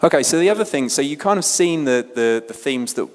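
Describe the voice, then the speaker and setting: A man's voice: mid-range voice, lively intonation, a little fast, big-room sound. A man presenting at a conference, talking loosely and chattily as he reads out audience poll answers. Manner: loose and chatty, with many fillers and restarts. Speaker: presenter at an event.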